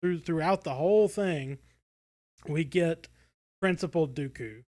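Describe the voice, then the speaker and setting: Guy chatting on a podcast, voice a bit high, kinda rambly and keeps restarting. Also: darkish tone. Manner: rambling, halting, self-correcting. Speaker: podcast co-host. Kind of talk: casual discussion.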